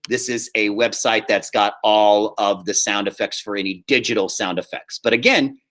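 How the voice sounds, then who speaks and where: A man speaking as a high school drama teacher, giving upbeat, informal instructions to students and often checking that they follow. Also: fairly even intonation, moderate pace, a bit bright. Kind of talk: casual instructional talk to students in an online class.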